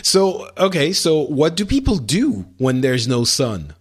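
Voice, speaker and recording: A man co-hosting a casual podcast, asking curious questions and reacting quickly to his co-host. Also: on the high side, huge pitch swings, slightly rough.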